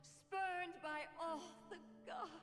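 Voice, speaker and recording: An actress voicing a solemn, otherworldly figure reciting a slow incantation in a game. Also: female voice, very high voice, fairly even intonation, fairly bright tone, exaggerated voice. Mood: eerie solemn. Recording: long reverb, faint music.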